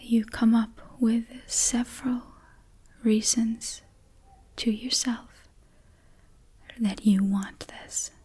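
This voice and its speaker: Very slow, breathy whispering ASMR woman. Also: on the high side, fairly even intonation. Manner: soothing, hushed, slow with long pauses. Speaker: ASMR host, meditation guide. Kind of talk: ASMR guided meditation.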